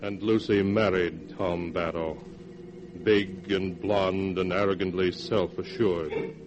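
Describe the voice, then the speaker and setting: An actor playing an ominous storyteller, narrating a vintage 1940s radio horror drama. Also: male voice, low-pitched, steady tone, slow pace, background noise. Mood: portentous. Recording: dull vintage radio recording, music behind. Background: music.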